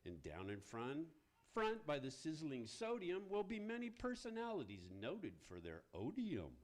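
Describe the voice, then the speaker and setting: A man's voice: a little high, huge pitch swings, normal speed. A man playing a florid, theatrical messenger of hell who declaims in rhyme, in an amateur radio play. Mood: grandly ominous. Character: male herald of hell, florid messenger summoning damned souls.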